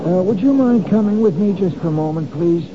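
An actor playing a store clerk who stops a shopper, hesitant and full of fillers but politely firm, in a 1970s radio drama. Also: male voice, high-pitched, lively intonation, fairly quick. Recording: dull lo-fi 1970s radio broadcast with clear hiss.

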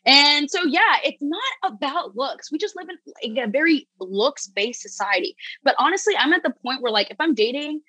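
Bright young woman, candid guest. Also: sits high, moderate pace, hardly any pauses, no echo, low-quality recording. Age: young adult. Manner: candid and animated, lots of "like" and restarts. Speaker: podcast guest. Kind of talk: casual podcast chat.

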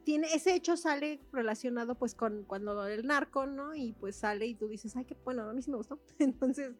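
A woman's voice: sits high, moderate pace, faint music behind. A young woman with a Mexican accent, co-hosting a casual book chat, slangy and chatty with laughs between words. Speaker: podcast co-host.

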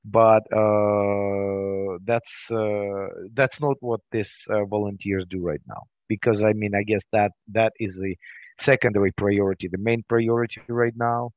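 Man, Ukrainian accent, lowish voice, halting speech full of fillers, dry muffled sound. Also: fairly even intonation, no echo. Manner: halting, many fillers and restarts. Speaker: guest. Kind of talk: conversational interview answers.

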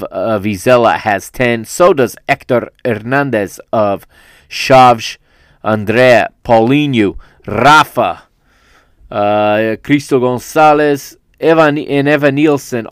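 A man's voice: medium pitch, clean tone, no room sound, studio quality. A man hosting a solo football podcast, rambling through a casual recap, often correcting himself as he goes, with a fan's enthusiasm. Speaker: podcast host. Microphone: studio microphone.

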